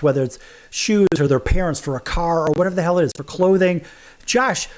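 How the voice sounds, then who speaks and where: A man hosting a sports talk show, animated and firing off rhetorical questions. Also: sits high. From United States. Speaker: host.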